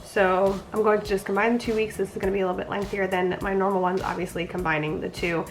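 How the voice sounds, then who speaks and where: A woman's voice: mid-range voice, level tone, fast talker, faint music behind. A young woman vlogging a casual pregnancy update, sounding nasal from a cold, chatty and rambling. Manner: chatty, rambling, frequent fillers.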